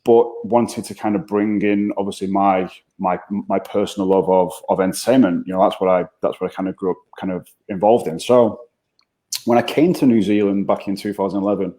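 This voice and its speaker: A man's voice: deep voice, fairly even intonation, fairly quick, warm tone. A man with a Manchester accent, a guest on an interview show, talking conversationally and often repeating words as he thinks. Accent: Mancunian English. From England.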